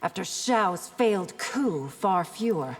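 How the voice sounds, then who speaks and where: An actress playing a proud, haughty warrior princess in a fantasy video game, husky, cool and challenging. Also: female voice, mid-range voice, animated, takes it slowly, very noisy. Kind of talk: video game fight banter. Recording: reverberant game hall sound.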